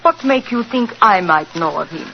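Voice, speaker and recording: An actress in 1940s radio drama playing a proud, possessive young cabaret singer with a French-flavoured foreign accent and curt broken English. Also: female voice, medium pitch, lively intonation, normal speed, creaky, slight background noise. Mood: suspicious. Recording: muffled old radio recording with music.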